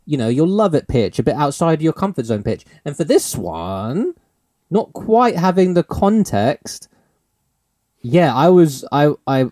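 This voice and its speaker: A man's voice: fairly high pitch, sing-song, measured pace, warm tone, dry recording, clean studio sound. A man, hosting a podcast in a rambling, teasing, laugh-filled chat. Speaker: podcast host.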